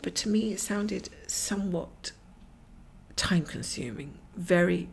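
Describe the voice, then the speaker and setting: A middle-aged woman from Scotland chatting casually while she cross-stitches at home, rambling from topic to topic and often dropping to a whisper, her voice croaky and her nose blocked. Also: fairly low pitch, a little hiss or hum. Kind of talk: casual stitch-along chat. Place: at home, camera over her stitching hoop.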